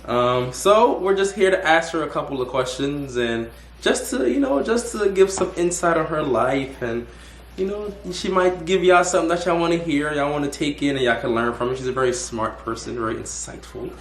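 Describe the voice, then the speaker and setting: A man's voice: on the high side, expressive, moderate pace. A young man hosting a casual interview, rambling and restarting his questions. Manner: rambling, restarts and repeats words. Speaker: host. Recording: echoey room.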